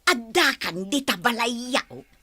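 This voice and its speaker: High, bright Ilocano wife. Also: female voice, expressive, slow pace, no echo, full character voice. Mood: delighted, welcoming. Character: excitable, hospitable wife greeting an old best friend. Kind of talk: Ilocano radio comedy-drama acting.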